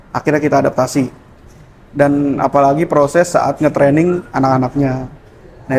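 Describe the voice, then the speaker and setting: A man, a former hotel cook turned cafe barista, explaining at a relaxed pace with many hesitant fillers. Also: fairly high pitch, slight background noise. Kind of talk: casual interview answers.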